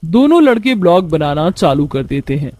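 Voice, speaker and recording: High-voiced man narrating a moral story. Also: big pitch swings, moderate pace, dark tone, no room sound.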